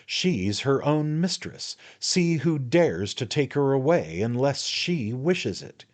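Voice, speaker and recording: A man's voice: mid-range voice, sing-song, takes it slowly, voice pushed up. Man playing a clipped, cool, mercenary young man; short, blunt lines. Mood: curt. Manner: curt, clipped phrases.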